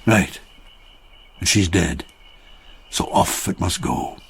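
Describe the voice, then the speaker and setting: An actor playing a widowed peasant farmer, boisterous and fond of drink, who rambles jovially and turns reflective in a radio drama. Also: male voice, deep voice, expressive, slow, gravelly, slightly rough, exaggerated voice. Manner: jovial, rambling, slightly tipsy. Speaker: Takeshi, a farmer friend.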